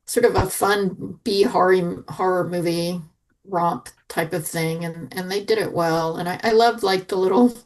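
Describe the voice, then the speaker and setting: A woman's voice: fairly low pitch, fairly even intonation, unhurried, rough recording. A woman chatting casually as a panelist on a review podcast.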